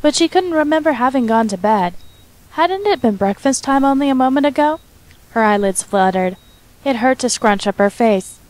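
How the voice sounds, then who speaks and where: A woman's voice: high-pitched, expressive, normal speed, a little mellow. A woman reading scripted fiction as the narrator, in a dramatic storytelling style.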